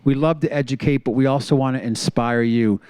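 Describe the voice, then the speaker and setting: A man hosts a local TV talk show in an enthusiastic, friendly way, now and then restarting his sentences. His voice sits at an ordinary middle pitch. The sound is close and dry, with no room echo. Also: a little fast, a little mellow, smooth. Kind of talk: casual interview chat.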